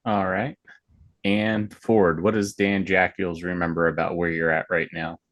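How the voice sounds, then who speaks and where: A man's voice: low voice, little pitch movement, moderate pace, a bit bright. A man acting as game master, narrating and managing turns in an online tabletop game.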